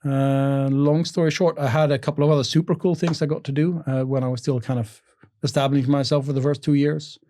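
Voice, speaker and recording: A man's voice: a little high, level tone, brisk, mellow. A man talking as a podcast guest in an upbeat, enthusiastic way about his own work. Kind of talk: casual interview chat.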